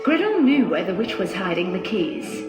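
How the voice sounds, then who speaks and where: A woman narrating a scripted fairy tale for children in an expressive, dramatic storytelling manner. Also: mid-range voice, moderate pace. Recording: echoey, music under the voice.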